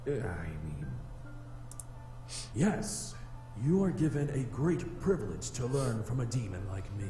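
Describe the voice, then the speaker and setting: A man's voice: mid-range voice, very animated, unhurried, mellow. A man playing a solemn, aloof fire demon in a visual novel, speaking in formal, stiff, haughty phrases. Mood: flustered, haughty. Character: solemn male fire demon, vengeful, aloof, easily flustered. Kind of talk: visual novel character acting. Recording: echoey reverb with music behind.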